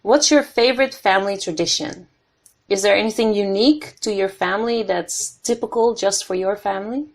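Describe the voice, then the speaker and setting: A woman's voice: medium pitch, moderate pace. Dutch woman hosting an interview. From the Netherlands. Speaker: podcast host. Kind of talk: casual interview.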